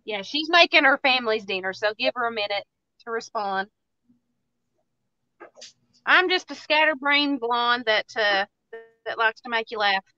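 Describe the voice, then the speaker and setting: Chatty Southern woman, high bright voice. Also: animated, normal speed, long pauses, dry recording, dull audio. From Tennessee, USA. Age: 30s. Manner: chatty, joking, self-mocking. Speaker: craft livestream host. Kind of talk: casual livestream chat.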